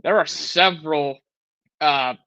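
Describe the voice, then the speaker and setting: A man's voice: on the high side, slow, sharp tone, no echo, highs cut off. A man in his thirties co-hosting a sports talk show, rambling with restarts and frustrated. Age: 30s. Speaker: podcast co-host.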